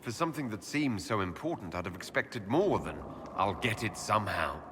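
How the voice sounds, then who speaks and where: An actor playing a calm, probing man of authority in a dramatic video game cutscene, questioning in a measured, pressing way. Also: fairly low pitch. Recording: long reverb, noisy.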